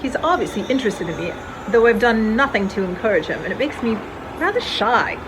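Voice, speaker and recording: A woman's voice: a little high, expressive, brisk, noisy background, natural voice. A woman playing a prim, shy, proper unmarried librarian in a dramatized novel reading, speaking politely and with restraint. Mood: shy musing.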